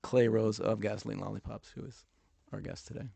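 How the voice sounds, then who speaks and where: A man's voice: lowish voice, fairly even intonation, fairly quick, creaky, warm tone, slightly rough, no echo. A man hosting a music podcast, talking casually with a noticeable stutter. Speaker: podcast host.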